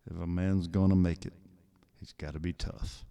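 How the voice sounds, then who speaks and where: A hard, gray old father , read by a man, speaks bluntly with no apology. His voice sits very low, with a dark, gravelly grain. He sounds gruff and weathered. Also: level tone, moderate pace. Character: rough, gray old father, hardened and unrepentant.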